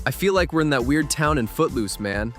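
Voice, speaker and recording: A man playing a cool, laid-back, breathy teenage goth boy in an audio drama. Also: fairly high pitch, animated, measured pace, a bit bright, faint music behind. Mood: laid-back disbelief. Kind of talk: scripted audio fiction acting.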